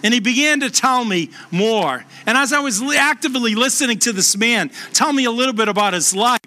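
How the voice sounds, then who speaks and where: A middle-aged man with a Boston accent, a pastor preaching a sermon and telling stories earnestly, slowing down for emphasis. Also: animated, bright, forceful tone. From Boston, USA. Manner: earnest storytelling, slows and lowers voice for emphasis. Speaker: pastor and hospice chaplain.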